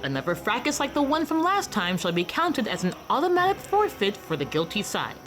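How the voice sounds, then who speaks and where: A woman's voice: mid-range voice, wildly swooping pitch, brisk, over music. A woman acting in a radio play as a formal, imperious lady of a fantasy tournament, declaiming with an indignant edge. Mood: formal, demanding.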